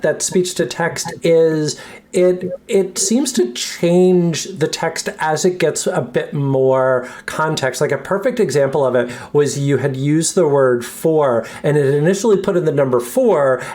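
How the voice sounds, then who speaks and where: A woman's voice: sits low, a little mellow. A woman hosting a casual tech show, asking long questions and often repeating words as she starts. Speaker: host. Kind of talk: casual interview chat.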